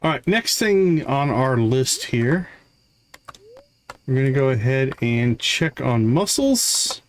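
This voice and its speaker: A man's voice: mid-range voice, sing-song, slow, a little hiss or hum. A man doing casual live game commentary, thinking aloud and talking himself through each task step by step. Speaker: live game streamer, host.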